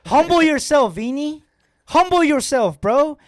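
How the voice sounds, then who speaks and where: A man's voice: very high-pitched, expressive, measured pace, no room sound, clean studio sound. A man co-hosting a football podcast in casual banter, blunt and slangy, swearing and repeating phrases insistently. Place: podcast studio.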